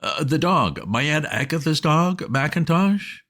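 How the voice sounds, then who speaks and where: A man voicing a flustered, excitable young gentleman who bursts out in indignant exclamations in a storybook reading. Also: a little high, pitched above the performer's own voice. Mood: alarmed. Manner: exclamatory, indignant, rapid outbursts.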